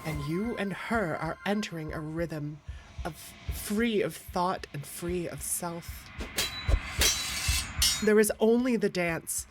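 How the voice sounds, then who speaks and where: Low-voiced, unhurried woman streamer. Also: poor audio. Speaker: game streamer. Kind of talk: reading visual novel narration aloud, with casual asides to viewers. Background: game music.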